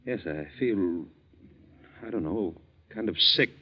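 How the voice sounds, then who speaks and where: An actor playing a well-to-do, easily shaken husband with an American accent in a 1940s radio drama, earnest and halting. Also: male voice, deep voice, expressive, husky, exaggerated voice. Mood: stunned, hurt. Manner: earnest, halting when troubled. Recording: muffled 1940s radio recording.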